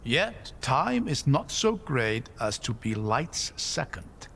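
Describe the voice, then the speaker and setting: A man's voice: mid-range voice, very animated, takes it slowly, creaky, fairly bright tone, a little hiss or hum, exaggerated voice. An actor playing a middle-aged, curious and articulate scholar father in a video game, musing in a learned way. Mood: scholarly, focused. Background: game soundtrack music.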